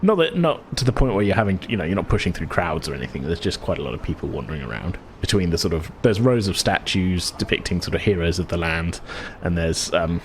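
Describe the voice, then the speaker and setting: A man's voice: deep voice, lively intonation, fast talker, few pauses, a little hiss or hum. A man running a tabletop game as game master, narrating casually in a rambling, self-correcting way.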